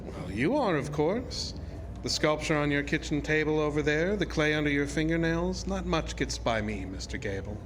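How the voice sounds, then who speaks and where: An actor playing a suave, sinister carnival ride builder in a horror audio drama, speaking formally and with smug, unhurried politeness. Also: male voice, on the high side, sing-song, noisy background. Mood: persuasive, smug. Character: suave, sinister male magician's mechanic and carnival ride artist.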